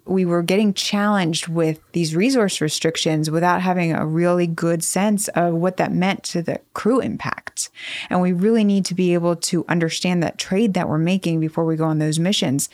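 Woman scientist explaining stuff on a podcast, bit low pitched, no room echo. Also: a little mellow. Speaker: principal investigator, scientist guest. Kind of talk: explanatory interview answers.

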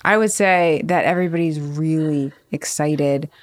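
Female research lead being interviewed, slightly low voice, very dry close sound, explanatory. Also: moderate pace, no echo. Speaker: principal investigator, scientist guest.

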